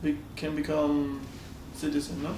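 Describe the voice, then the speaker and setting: A man from Peru with a Peruvian Spanish accent talks in halting, broken English full of restarts and laughs. His voice sits high and sounds distant from the mic, bouncing around an echoey room, with faint ambience behind him. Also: monotone, background noise. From Peru, Amazon region. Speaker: flatmate renting out a room. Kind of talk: casual chat in broken English.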